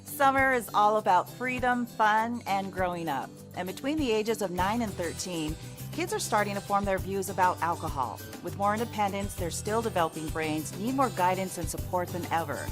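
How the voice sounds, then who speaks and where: Woman announcer over clear background music. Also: medium pitch, normal speed. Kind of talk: scripted public service radio ad. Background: music bed.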